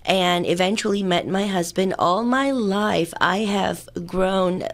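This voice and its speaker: A woman in her fifties who grew up in Singapore, talking at length in an upbeat way as a guest in a radio studio interview, on a studio mic. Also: mid-range voice. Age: 50s. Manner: talkative, upbeat, fast-running answers with fillers. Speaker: political candidate, interview guest. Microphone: studio mic with headphones.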